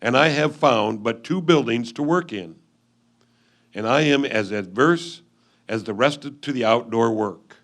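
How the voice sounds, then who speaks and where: Guy acting a lonely pioneer husband reading his letter out loud, earnest and measured, pauses for drama, mid pitch. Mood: weary, frustrated. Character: lonely 1850s frontier husband writing home to his wife. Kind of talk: reader's theatre letter reading.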